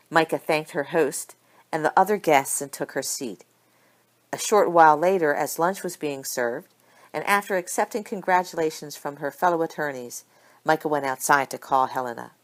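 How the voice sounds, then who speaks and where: A woman reading a story aloud as an amateur audiobook narrator, with expressive delivery. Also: low-pitched.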